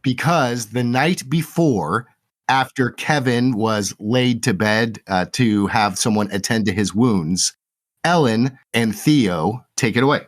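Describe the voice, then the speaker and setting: A man's voice: medium pitch, slow pace. A man hosting a tabletop roleplay show as dungeon master, opening with an upbeat announcer-style intro and then narrating a story recap.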